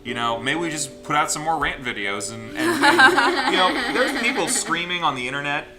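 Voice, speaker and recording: A man's voice: on the high side, wildly swooping pitch, moderate pace, rough recording. A man hosting a group let's play, reading a visual novel aloud theatrically and breaking off for casual joking asides. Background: game music.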